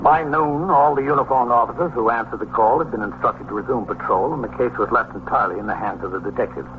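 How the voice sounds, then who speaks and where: A man's voice: mid-range voice, quick pace, close to an everyday voice. Man acting a matter-of-fact police captain narrator. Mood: measured, factual. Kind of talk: scripted procedural radio drama narration. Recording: thin, phone-like, noisy old radio recording.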